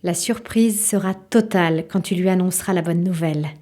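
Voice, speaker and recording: A woman's voice: lowish voice, brisk, mellow, close to an everyday voice. A woman performing as an intimate storyteller, narrating an audio drama in a literary second-person style. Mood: anxious, hushed. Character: intimate storyteller addressing an anxious housewife.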